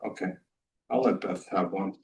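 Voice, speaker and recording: A man's voice: sits low, expressive, normal speed, a little mellow, slight background noise. A man on a town board making motions and asking informal questions at a public meeting. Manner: informal, asks questions, self-deprecating. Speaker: town selectman. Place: meeting room. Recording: voice from far off the mic.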